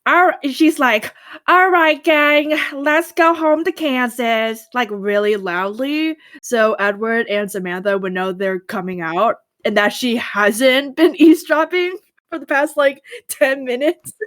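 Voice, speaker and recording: A woman's voice: high voice, measured pace, a bit bright. A woman playing a tabletop game, casually and chattily narrating what her character does.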